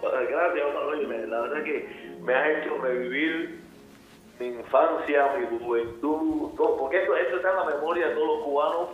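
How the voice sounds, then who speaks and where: A man's voice: a little high, moderate pace, soft background music. A man calling in to a talk show, reminiscing with amused laughs. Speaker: caller. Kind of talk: casual phone-in chat. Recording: dull echoey phone line.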